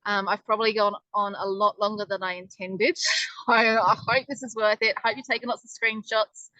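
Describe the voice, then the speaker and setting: A woman's voice: mid-range voice, fairly even intonation, fairly quick, bright, forceful tone, no echo, highs cut off. A woman presenting a casual webinar in a chatty, upbeat way, with lots of ums. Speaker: online business presenter.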